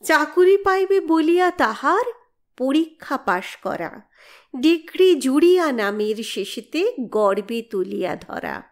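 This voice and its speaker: A woman reciting poetry in a dramatic, exhorting style with measured phrasing. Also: sits high.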